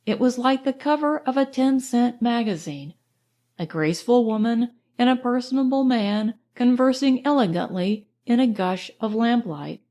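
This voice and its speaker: A woman narrates an audiobook with a somewhat high voice. Her reading is scripted literary storytelling in the first person. She keeps it measured and expressive.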